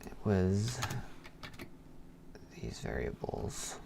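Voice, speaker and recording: A man live-streaming as a programmer, thinking aloud in a halting mutter as he works, half talking to himself. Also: low voice, big pitch swings, vocal fry. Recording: keyboard clicks and background noise. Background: computer keyboard typing and clicking.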